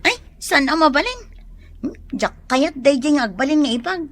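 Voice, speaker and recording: A jealous, whiny younger sister with an Ilocano accent acted by a woman, very high in pitch. She complains melodramatically, in the style of a radio comedy drama. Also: expressive, moderate pace, fairly bright tone. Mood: wailing dismay.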